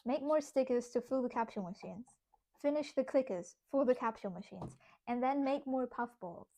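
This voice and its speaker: Brief: young woman, German accent, slightly high voice, loose chatty livestream talk, reads chat while she works, giggles a lot. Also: lively intonation, brisk, dark tone. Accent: German, with some British English. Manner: chatty, laughs often, talks to herself while working. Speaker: streamer. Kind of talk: casual craft livestream chat, reading chat aloud.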